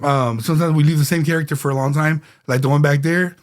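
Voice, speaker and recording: A man's voice: on the high side, quick pace. Easygoing man chatting, repeating himself. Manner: relaxed, repeats himself, many fillers. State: a bit under the weather. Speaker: podcast co-host. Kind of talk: casual podcast chat.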